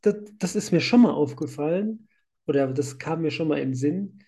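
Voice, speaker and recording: A man with a cold chats casually into a podcast microphone. His voice sits a little high but sounds warm and mellow, and he tends to ramble and laugh along the way. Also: animated, moderate pace, husky. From Germany. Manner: chatty, laughs often, rambling. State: has a cold. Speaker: podcast host, site manager.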